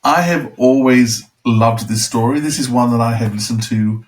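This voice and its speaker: A man's voice: medium pitch, normal speed. A man co-hosting a review podcast, moving between reading out notes and chatting casually. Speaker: podcast co-host.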